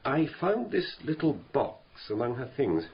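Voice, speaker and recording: Radio drama doctor, male: brisk and dismissive, husky, animated mid-range voice, muffled sound. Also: mid-range voice, heavily acted. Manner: blunt, matter-of-fact, clipped opinions.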